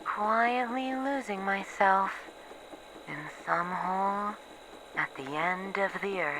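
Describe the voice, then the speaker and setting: A woman playing a bitter, weary researcher losing her memories, in a halting, sardonic, sighing monologue for an ASMR horror roleplay. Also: medium pitch, noisy background, lightly acted. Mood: resigned, bleak. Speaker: voice actor in an audio roleplay.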